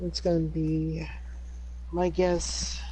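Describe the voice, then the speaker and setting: A man's voice: on the high side, completely flat delivery, extremely slow, dark tone, a little hiss or hum, low-quality recording. A man playing a tabletop game, thinking aloud as he decides what to do. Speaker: player. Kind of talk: casual tabletop game chat.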